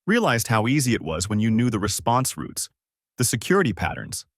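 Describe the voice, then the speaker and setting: A man's voice: medium pitch, very animated, fairly quick, no echo, studio quality. A man narrating a dramatic story, voicing a worn-down corrupt police officer who confesses. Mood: defeated confession. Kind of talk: narrator reading story dialogue.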